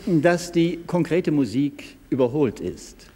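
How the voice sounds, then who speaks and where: A man's voice: fairly high pitch, animated, takes it slowly, mellow, slight background noise. A man playing a persistent, formal interviewer in a staged radio interview, probing and puzzled, recorded in a reverberant hall.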